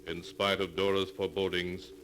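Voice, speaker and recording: Man as deep, ominous radio storyteller. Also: level tone, moderate pace, background noise, lightly acted. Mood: grave, ominous. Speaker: narrator. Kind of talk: golden age radio scripted narration. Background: music.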